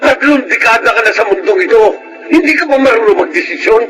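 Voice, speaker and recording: A man's voice: very high-pitched, expressive, fast talker, over music. An actor playing a furious, strict older father in a radio drama, scolding with explosive emphasis. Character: furious, strict older father shamed by his pregnant daughter. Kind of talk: Tagalog radio drama acting.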